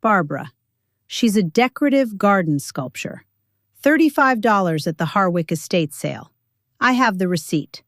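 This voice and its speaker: A woman narrator plays an older mother who will not be ruffled. She is deadpan and precise, stating everything as plain fact in an audio drama. The sound is dry, the pitch medium. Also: moderate pace, dry recording. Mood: unflappable. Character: unflappable older mother, retired teacher and gardener.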